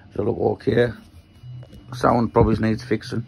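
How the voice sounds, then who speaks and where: A man from Newcastle with a Geordie accent and a croaky voice, casually talking through his workshop project while filming on a handheld phone in a small shed. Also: fairly low pitch, flat delivery, moderate pace, darkish tone, faint music behind, low-quality recording. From Newcastle, England. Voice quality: croaky, gravelly voice. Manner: rambling, explains while handling parts. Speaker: maker vlogger.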